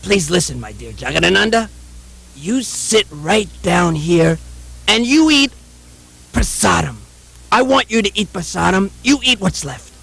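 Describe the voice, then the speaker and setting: A man voicing a puppet of a fussy, gluttonous, sanctimonious holy man, insistent and repetitive, with a raspy, creaky voice in a theatrical show style. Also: high voice, expressive, measured pace, bright, forceful tone, full character voice. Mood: pushy insistence.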